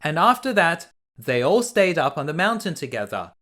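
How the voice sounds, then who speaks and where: A man reading a children's bedtime story aloud in an expressive storytelling manner. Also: a little high, normal speed.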